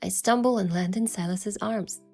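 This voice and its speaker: A young romance-game heroine, sincere and tender with a playful side. She tells her story in first person, soft and measured, at a middle pitch, with expressive delivery and music faintly behind her. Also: gravelly. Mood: reflective narration. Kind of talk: scripted first-person story narration and dialogue.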